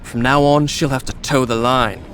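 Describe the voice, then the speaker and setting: A man's voice: medium pitch, fairly even intonation, normal speed, fairly bright tone, close to an everyday voice. Casting: man as self-assured young prince for a game, mid-range voice, dry sarcasm, faint outdoor noise behind. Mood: dry, haughty. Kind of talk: video game cutscene acting.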